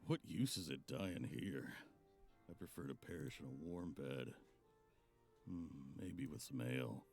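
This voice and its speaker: A man playing a weary, cautious monster hunter in a visual novel, with a gravelly, husky voice. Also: a bass voice, a little slow, darkish tone, soft background music. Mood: dry, reasoning. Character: weary, cautious seasoned male monster hunter, player's lover.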